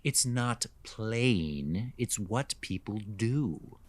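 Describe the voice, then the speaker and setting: A man's voice: fairly low pitch, animated, very slow, exaggerated voice. A man playing a condescending, sexist wealthy homeowner with a haughty, insinuating manner in an audio drama. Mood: prying, condescending. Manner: hesitant fillers, haughty, insinuating.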